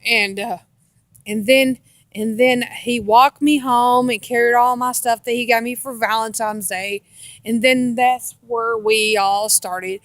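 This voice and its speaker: A woman's voice: a little high, fairly even intonation, unhurried, a bit bright, no room sound. An American woman telling a casual personal story in a rambling, repetitive way with folksy grammar. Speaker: storytelling vlogger.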